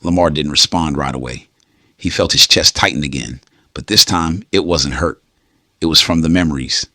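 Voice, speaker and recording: A man narrating a late-night romance story in a slow, hushed, intimate way. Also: extremely low pitch, no room sound, clean studio sound. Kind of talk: scripted storytelling.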